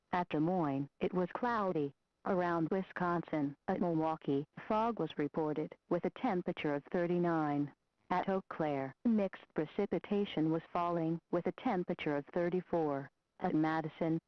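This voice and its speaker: A female weather radio voice listing current conditions in a flat, even way. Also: on the low side, no echo. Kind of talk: automated weather observations readout. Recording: dry, lo-fi radio recording.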